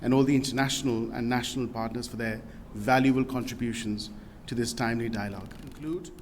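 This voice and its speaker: A man's voice: mid-range voice, fairly even intonation, quick pace. A man delivering a formal prepared speech at a conference, reading in a formal tone. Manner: formal, read from a prepared text. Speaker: official speaker. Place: hotel conference hall. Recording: large hall reverb, faint noise.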